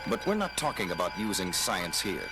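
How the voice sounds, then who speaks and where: A man's voice: low voice, sing-song, fairly quick. A man playing a coolly logical alien science officer, speaking formally and precisely in an audio drama. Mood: explaining. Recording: loud music, some pops. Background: loud dramatic orchestral music.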